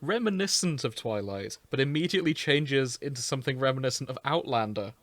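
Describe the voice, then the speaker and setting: A man's voice: medium pitch, lively intonation, brisk, no room sound. A man co-hosting a podcast in casual comedic banter, with an irreverent, crude sense of humour. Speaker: podcast co-host.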